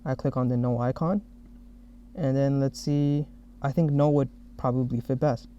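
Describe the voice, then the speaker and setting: A man narrating a software demo as the developer who made it, explaining each step. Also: mid-range voice, fairly even intonation, moderate pace, a little hiss or hum. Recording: dull, muffled lo-fi audio.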